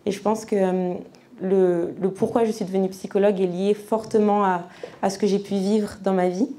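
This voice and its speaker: Mellow-voiced woman giving a testimony. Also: mid-range voice, little pitch movement, normal speed. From France. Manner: conversational, many fillers and restarts. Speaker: psychologist giving a church testimony. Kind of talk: personal testimony to a church audience.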